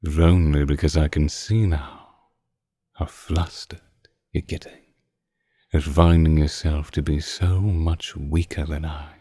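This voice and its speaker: A man playing an ancient, suave vampire lord in a scripted roleplay drama, speaking with deliberate, condescending menace and archaic phrasing. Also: extremely low pitch, flat delivery, mellow, no echo. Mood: amused, condescending. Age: older. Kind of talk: scripted roleplay audio drama, intimate close-mic monologue.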